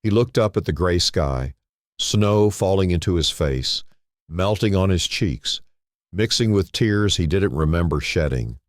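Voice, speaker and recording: A man with an extremely deep voice reads a dramatic story. He takes it slowly and solemnly, parcelling it out in short phrases with pauses between them. The sound is dry, with no room around it. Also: animated, dry recording. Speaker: narrator. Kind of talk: dramatic scripted story narration.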